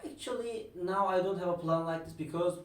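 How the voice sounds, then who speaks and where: A man in his twenties with a Turkish accent, answering questions in hesitant English with slips, pauses and soft laughs. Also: high-pitched. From Turkey. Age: 20s. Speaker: Airbnb host. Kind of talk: casual interview answers. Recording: echoey room, mic at a distance.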